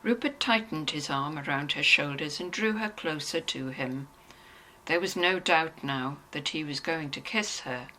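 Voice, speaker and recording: A woman narrating a novel in an expressive, scripted storytelling style. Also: deep voice, unhurried, rough recording.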